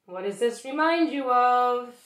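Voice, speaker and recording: High-voiced woman reading to young children. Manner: expressive, pausing to ask listeners questions. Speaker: teacher reading a picture book aloud. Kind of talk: read-aloud storytelling for young children. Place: small bedroom, indoors. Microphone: webcam or laptop at arm's length. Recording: roomy home webcam recording.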